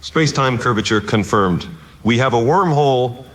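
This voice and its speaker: A man playing an earnest, cautious theoretical physicist in an audio drama, speaking in a measured, serious and precise way. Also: mid-range voice, expressive, a bit bright, lightly acted. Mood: focused, pleased. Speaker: scientist.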